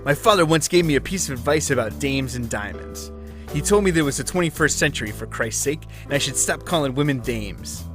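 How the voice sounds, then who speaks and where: Man, detective narrator, dry deadpan noir parody read, guitar bed underneath. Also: mid-range voice, fairly quick.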